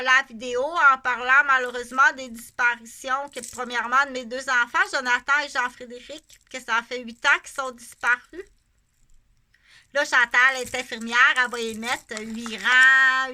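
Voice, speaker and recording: This is a middle-aged woman with a Québécois accent, speaking unscripted to her viewers. Her voice sits high and has a sharp, bright edge. She rambles from point to point and repeats phrases for emphasis. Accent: Québécois French. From Canada. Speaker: vlogger. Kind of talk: casual unscripted monologue to camera.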